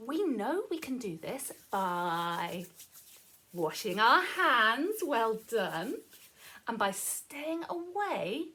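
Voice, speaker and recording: Female children's story reader, high-pitched and slightly husky, playful, encouraging, interactive. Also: a bit bright. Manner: playful, encouraging, asks the listener questions. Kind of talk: animated read-aloud with commentary for young children.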